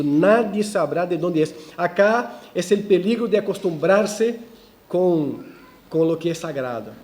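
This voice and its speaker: A man preaching a verse-by-verse sermon in a church, animated, with ironic asides and frequent restarts. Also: high voice. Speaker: pastor. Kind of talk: expository sermon.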